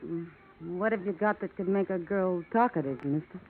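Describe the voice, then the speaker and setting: A woman's voice: on the low side, normal speed, natural voice. An actress playing a wary, sardonic, tough-talking young barroom woman in an old-time radio detective drama. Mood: coy, bargaining. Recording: tinny, faintly noisy old radio recording.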